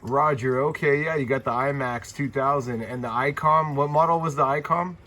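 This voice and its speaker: A man's voice: mid-range voice, fairly even intonation, normal speed. A laid-back, friendly man chatting casually as a CB radio operator, using radio jargon. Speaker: CB radio operator and YouTube channel host. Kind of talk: casual radio contact chat. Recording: loud radio static and hiss.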